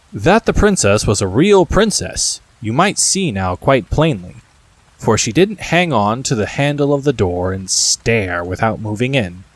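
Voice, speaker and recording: A man narrating a classic children's story from a script, expressive and speaking directly to the listener. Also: medium pitch. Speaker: audiobook narrator.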